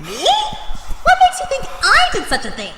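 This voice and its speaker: A woman's voice: extremely high pitch, wildly swooping pitch, moderate pace, bright, forceful tone, heavily acted. A woman voicing a small, cheeky, evasive male winged imp in an audio drama, with a squealing, exclamatory delivery. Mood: evasive, indignant. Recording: long reverberant echo.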